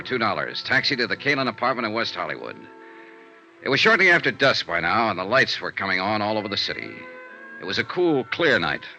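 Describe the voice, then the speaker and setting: A man's voice: fairly low pitch, bright, forceful tone, heavily acted. An actor playing a confident, wry American insurance investigator who narrates his case in clipped, hard-boiled 1950s radio drama style. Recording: dull vintage radio recording with hiss.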